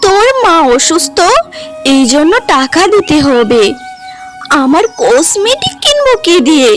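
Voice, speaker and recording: A woman voicing a haughty, high-pitched young wife. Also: sing-song, a little slow, faint music behind, full character voice. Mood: haughty, boastful. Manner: haughty, scolding. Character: vain, selfish, snappy young wife. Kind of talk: cartoon story acting.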